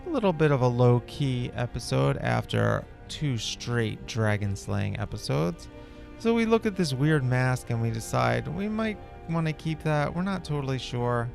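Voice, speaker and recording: A man's voice: medium pitch, animated, measured pace, vocal fry, faint music behind. A man hosting a gameplay video, giving casual, rambling, laid-back commentary in character.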